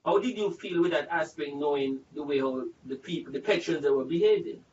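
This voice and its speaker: Host laughing through rambling questions, echoey. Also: male voice, on the high side, brisk, a little mellow. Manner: laughs easily, rambling questions, patois phrasing. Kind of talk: casual interview. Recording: distant echoey lo-fi with faint room noise.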